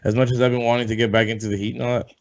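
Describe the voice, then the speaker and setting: A man hosting a casual sports podcast, rambling and loose, swearing offhand, a bit hoarse as if coming down with something. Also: medium pitch, little pitch movement, racing. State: losing his voice, feeling sick. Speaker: podcast host. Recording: dull lo-fi sound.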